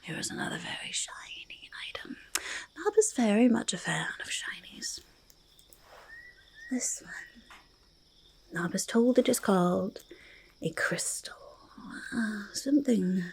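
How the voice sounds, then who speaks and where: A woman voicing a quirky, stubborn goblin who loves shiny treasures, in a halting, breathy, creaky ASMR whisper, speaking in third person. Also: medium pitch, lively intonation. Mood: quiet delight. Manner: halting, childlike third-person speech, easily argumentative. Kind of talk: soft-spoken ASMR roleplay, mostly whispered.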